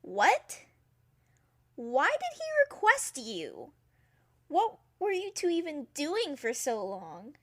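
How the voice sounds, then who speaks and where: An actress playing a nosy, sharp-tongued young maid in a castle. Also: female voice, high voice, huge pitch swings, slow, exaggerated voice. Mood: suspicious. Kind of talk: audio drama acting.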